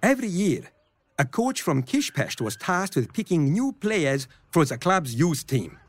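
Guy doing an old football star telling his boyhood, wry and fond, super lively pitch, music behind. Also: unhurried. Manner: fond, wry first-person storytelling.

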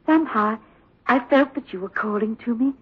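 High, breathy young sweetheart. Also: female voice, brisk. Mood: bright, affectionate. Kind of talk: 1960s radio horror acting. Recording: muffled old radio recording.

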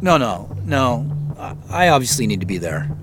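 Man acting a wry, hesitant businessman, animated pitch. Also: moderate pace, music in the background, close to an everyday voice. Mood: apologetic, uneasy. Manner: hesitant, self-deprecating, chuckles as he talks. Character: uneasy, wry businessman searching for answers. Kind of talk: naturalistic audio drama dialogue.

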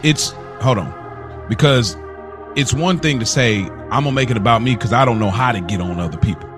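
A man's voice: medium pitch, fairly quick, music in the background. A man hosting a podcast in a casual, conversational style, posing questions to others. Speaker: podcast host.

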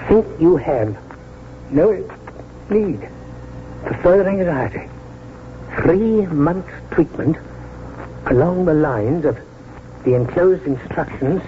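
An actor playing a kindly, determined older country doctor in earnest, theatrical 1940s radio drama style. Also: male voice, fairly high pitch, a little hiss or hum. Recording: muffled 1949 radio broadcast, music behind.

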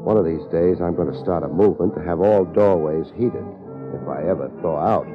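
An actor playing a laid-back, tough American private investigator, wry and deadpan, in 1950s radio drama style. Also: male voice, low voice, brisk, lightly acted. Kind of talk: 1950s radio detective drama acting and narration. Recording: muffled old radio recording with loud music.

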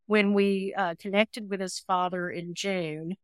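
A woman's voice: mid-range voice, steady tone, measured pace, smooth, no echo, studio quality. A woman in her sixties telling personal stories as an interview guest, earnest and talkative. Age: 60s. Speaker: interview guest, adoptee advocate. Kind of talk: conversational personal storytelling.

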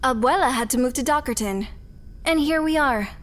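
A woman's voice: high-pitched, normal speed, close to an everyday voice. An actress playing a bitter, guarded working mother in a sci-fi audio drama, blunt, sardonic and suspicious. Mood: accusing. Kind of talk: audio fiction acting.

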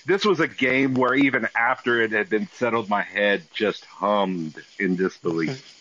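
A man's voice: on the low side, a little slow, bright, forceful tone. Man with slightly dull, lo-fi sound. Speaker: guest speaker. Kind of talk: casual sports fan chat. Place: remote call on a live audio app. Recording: slightly dull, lo-fi call audio.

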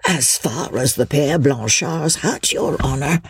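A man acts out an obsequious old peddler for an audiobook, servile and sly. The voice is pitched up from the reader's own, very husky and breathy, with some creak. He speaks deliberately and humbly, like one angling for coins. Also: dry recording, full character voice. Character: obsequious, cunning, greedy old male peddler. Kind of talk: audiobook character voice.

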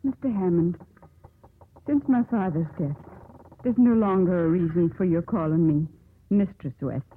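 An actress playing a steely young woman sea captain in 1950s radio drama style, crisp, formal and authoritative. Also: fairly low pitch, normal speed, long pauses, close to an everyday voice. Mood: stern, formal. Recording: tinny, noisy 1950s radio broadcast.

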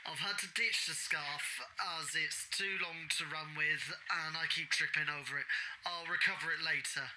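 A man's voice: fairly high pitch, fairly even intonation, normal speed, natural voice. A man playing a jittery amateur investigator muttering sweary field notes into a recorder in an audio drama. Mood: watchful. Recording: field recorder with background noise.

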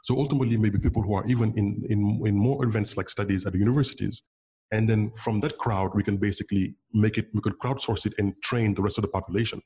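A man from Senegal, a native Wolof speaker, talks quickly in a fairly low voice. He is a guest in a casual interview and stays earnest, often restarting and repeating his words. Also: steady tone, darkish tone, low-quality recording. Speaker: guest, Bitcoin developer.

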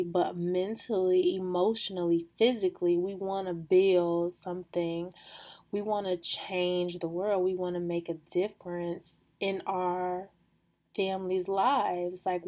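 Woman host, calm monotone delivery, unhurried pace, warm low tone. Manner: casual, with frequent fillers like "you know" and "like". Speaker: podcast host. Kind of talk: faith podcast monologue.

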